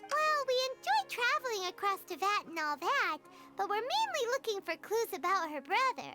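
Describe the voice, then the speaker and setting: Squeaky, excitable little fairy girl. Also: moderate pace. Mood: curious. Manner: refers to self in third person, exclamatory. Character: tiny childlike fairy companion, excitable and chatty. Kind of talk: anime game voice acting. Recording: game music behind.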